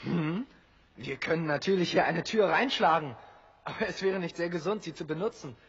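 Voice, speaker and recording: An actor playing an eager, sensible young castaway in a German audio drama, speaking in a matter-of-fact way. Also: male voice, on the high side, normal speed, fairly bright tone, breathy edge, full character voice. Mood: sensible, practical. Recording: dull, long cave-like reverb.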